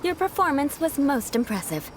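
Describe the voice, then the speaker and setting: A woman's voice: sits high, big pitch swings, moderate pace, noisy background. An actress playing a proud, elegant young noblewoman in a video game, speaking with formal, refined diction and a composed haughtiness, like a tutor. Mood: poised, commanding. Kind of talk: video game character dialogue.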